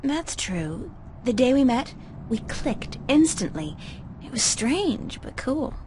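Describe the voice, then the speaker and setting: A woman's voice: high voice, very animated, slow pace, dark tone, slight background noise, exaggerated voice, poor audio. A young woman voicing a weary, wry, easygoing art student in a video game, with a casual, understated delivery. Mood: friendly, curious. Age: young adult. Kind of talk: conversational video game voice acting.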